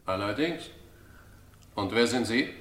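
A man's voice: on the low side, lively intonation, unhurried, a bit bright. A man playing a wary, blunt pub regular in a radio crime drama, speaking in a curt, colloquial way. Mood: grudging, impatient.

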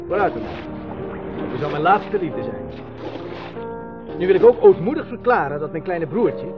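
A high, swooping, florid romantic man. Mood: resigned, wry. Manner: declamatory, grandiloquent, swooping. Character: florid, self-mocking romantic loser wooing the moon. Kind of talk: 1970s Dutch radio drama monologue. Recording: slightly dull old radio recording. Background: prominent music.

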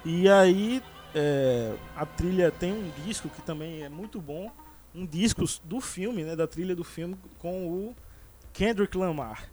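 A man's voice: high voice, slow pace, darkish tone, soft background music. A man hosting a casual podcast about films, talking with many fillers and restarts. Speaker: podcast host. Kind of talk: casual movie chat.

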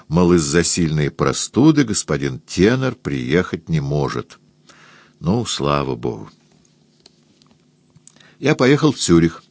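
A man with a very low voice reads a first-person memoir at an unhurried, deliberate pace. He sounds close and dry, with no room around him. He lifts his voice at the start of new phrases and sentences. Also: no room sound. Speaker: audiobook narrator. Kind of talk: scripted first-person literary reading.

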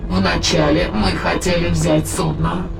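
A performer in an audio play voicing a sinister ancient demonic spirit that speaks in solemn, declamatory, archaic phrases with a gravelly, creaky voice. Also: mid-range voice, level tone, a little slow. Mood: coldly triumphant. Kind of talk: dramatized audio play, formal archaic monologue. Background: dramatic background music.

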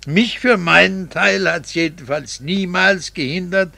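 Bitter jealous husband, old german radio play, creaky highish voice, really dry sound, scornful stagey delivery. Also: male voice, measured pace, bright, forceful tone, no room sound, full character voice. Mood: cold scorn. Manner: theatrical, scornful, formal stage diction. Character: bitter, jealous husband, long resentful of unfaithful wife.

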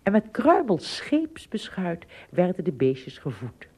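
Female narrator, low and husky, warm soft tone, expressive scripted storytelling. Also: slow.

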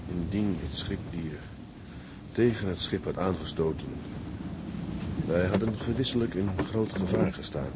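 An actor playing a gruff but kindly seaman in a radio drama, talking in a homely, muttering way. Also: low-pitched, unhurried, very noisy. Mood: solemn reading aloud. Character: gruff, kindly seaman tending a rescued castaway.

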